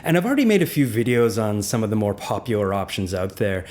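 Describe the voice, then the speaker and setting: A man with a slightly raspy voice, narrating a video as the host, curious and candid as he explains. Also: lowish voice, sing-song, fast talker, darkish tone. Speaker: music software reviewer.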